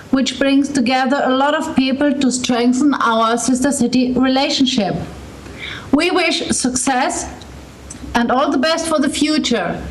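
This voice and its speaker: Woman, German accent, high-pitched formal greeter, measured pace, noisy video link. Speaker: city representative giving greetings. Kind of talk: short formal greeting speech. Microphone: video call. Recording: noisy video call with crowd sound.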